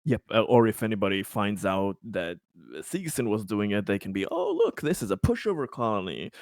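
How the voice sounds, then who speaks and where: Man, low voice, dry sound, tabletop player, casual halting talk, thinking aloud. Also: wildly swooping pitch, no echo. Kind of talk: casual game discussion.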